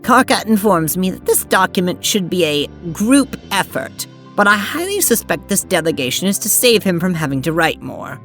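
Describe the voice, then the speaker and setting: A woman playing a prim, earnest alien seamstress in an audio drama, with formal, precise, deliberate diction. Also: lowish voice, sing-song, a bit bright, soft background music. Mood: formal, dry. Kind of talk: audio drama acting and narration.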